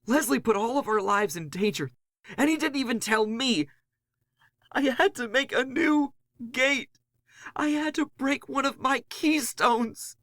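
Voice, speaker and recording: A man voicing a furious, foul-mouthed woman fighter who feels betrayed, shouting accusations in a horror audio drama. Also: sits high, measured pace, no echo, pitched above the performer's own voice. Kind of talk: dramatic audio fiction monologue.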